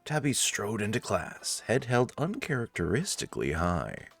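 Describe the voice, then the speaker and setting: A man's voice: fairly low pitch, very animated, moderate pace, creaky. A man hosting a let's-play, reading story narration theatrically with casual, amused asides. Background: faint game music.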